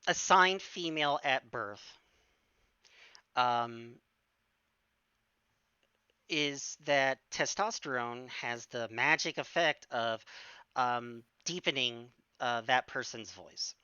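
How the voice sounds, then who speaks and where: A woman in her forties with a bright, crisp voice, talking slowly and haltingly. She fills her pauses with ums and restarts as she chats on a stream. The sound is dry and a little dull. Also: very deep voice, no echo. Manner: hesitant, many fillers and restarts. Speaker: stream guest. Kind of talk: casual Q&A chat.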